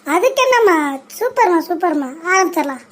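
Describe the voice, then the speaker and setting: A woman's voice: very high-pitched, animated, brisk, fairly bright tone. A woman voicing a blunt, chiding old grandfather who protects his daughter-in-law in a cartoon parody. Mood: encouraging.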